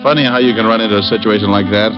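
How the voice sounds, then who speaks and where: An actor playing a world-weary but soft-hearted private eye in a vintage radio drama, talking in a laconic, casual, wry way. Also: male voice, fairly low pitch, steady tone, very fast, over loud music, natural voice. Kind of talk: 1940s-50s radio drama acting and narration. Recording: dull lo-fi old radio recording.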